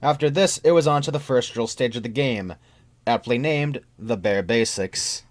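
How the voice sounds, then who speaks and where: A man's voice: medium pitch, normal speed. A man reading a scripted first-person creepypasta story as its narrator. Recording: music under the narration.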